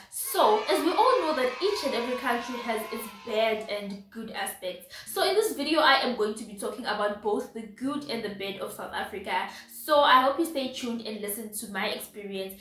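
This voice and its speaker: A young woman from Zimbabwe vlogging casually to camera, chatty and animated with slangy asides. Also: on the high side, far from the mic. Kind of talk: casual vlog chat to camera. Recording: echoey room, voice off-mic.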